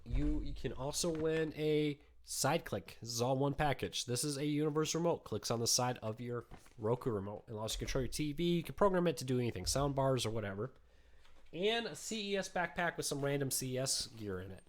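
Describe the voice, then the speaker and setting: A man hosting a casual live question-and-answer stream, talking in a relaxed, chatty way with lots of ums, his voice weak from a cold. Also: medium pitch, a little fast. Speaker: host answering viewer questions.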